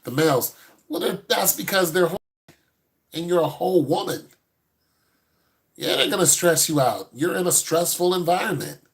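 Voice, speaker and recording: A man's voice: fairly high pitch, lively intonation, normal speed, pauses often. A man in his fifties, a host giving blunt, no-nonsense advice to a caller in casual chat. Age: 50s.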